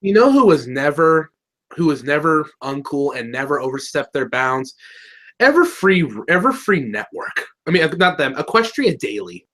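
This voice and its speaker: A man's voice: on the high side, normal speed. A man co-hosting a casual review chat over a webcam, joking and ranting, often restarting his sentences. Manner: excitable, joking, trails off and restarts. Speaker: co-host of a review video. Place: indoor room.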